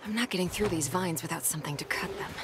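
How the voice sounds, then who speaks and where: A woman playing a stranded space scientist in a video game, methodical and uneasy but determined, musing to herself in a hushed way. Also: on the low side, quick pace, background noise, close to an everyday voice.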